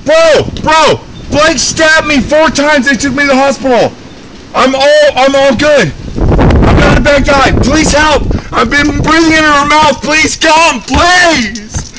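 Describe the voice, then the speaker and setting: Panicked man screaming, very high-pitched. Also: slow, noisy background. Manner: repetitive urgent pleading, profane. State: frightened, panicked, pleading. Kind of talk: desperate shouting for help.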